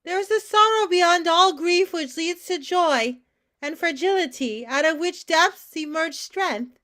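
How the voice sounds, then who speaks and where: A woman playing a mother who fusses brightly in a sing-song call and can turn tender, in a staged play reading. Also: very high voice, measured pace, heavily acted. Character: mother, brightly nagging, later tender and wistful.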